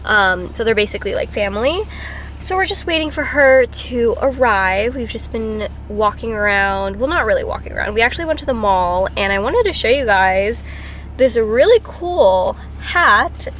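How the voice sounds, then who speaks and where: A woman's voice: fairly high pitch, animated, normal speed, a bit bright, a little hiss or hum. Congested woman vlogging casually. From Philippines. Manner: chatty, lots of "like" and "um". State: congested from a cold. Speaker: travel vlogger. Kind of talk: casual vlog talk to camera. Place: driving around and outdoors in summer.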